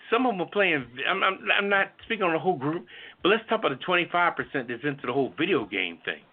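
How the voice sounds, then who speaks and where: A middle-aged man giving an opinionated, informal talk show monologue, blunt and profane, repeating himself for emphasis. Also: mid-range voice. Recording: thin phone-like audio.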